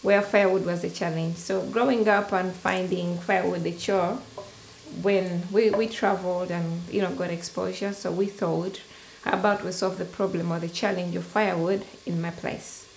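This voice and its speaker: A middle-aged woman with a Ugandan accent, explaining and demonstrating a product she invented, halting often with ums and restarts. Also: lowish voice, steady tone, slight background noise, poor audio.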